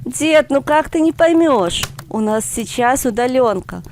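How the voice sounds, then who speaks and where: A woman's voice: high-pitched, animated, a little slow, darkish tone, a little hiss or hum, voice pushed up. A woman voicing a pert, impatient and curious schoolboy grandson who argues in slang, for a story reading. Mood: grumbling. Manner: slangy, argumentative. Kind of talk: audiobook character voice.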